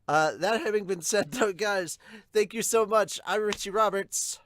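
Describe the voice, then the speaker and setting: A man's voice: high voice, moderate pace, a bit bright, a little hiss or hum. A man co-hosting an anime fan podcast, talking casually and bluntly in a rambling, sometimes sweary way to viewers. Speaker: co-host of an anime and manga podcast.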